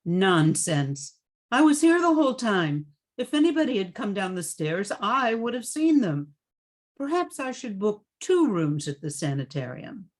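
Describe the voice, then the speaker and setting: A skeptical woman who takes charge, played by a woman in a radio play. She is brisk and dismissive, with dry delivery and lively swings in pitch. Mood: scornful.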